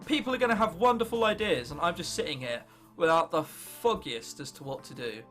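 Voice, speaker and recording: A teenage man from England with an English accent, commentating on gameplay and thinking aloud in an excitable, sweary, self-mocking way. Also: on the high side, fairly quick, soft background music. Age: late teens. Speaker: gaming video host.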